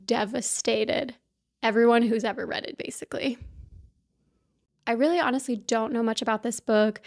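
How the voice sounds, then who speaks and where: A woman from talks straight to her viewers in a casual book vlog. Her voice sits a touch on the high side, and her manner is chatty and upbeat, full of little asides. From Kansas City, US. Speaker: book vlogger. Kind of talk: casual chat to camera.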